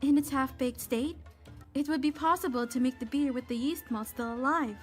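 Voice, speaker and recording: A woman's voice: high voice, normal speed, faint music behind, close to an everyday voice. A woman playing a polite, studious young female companion in a comic dub, explaining things precisely and earnestly. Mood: earnest, explanatory.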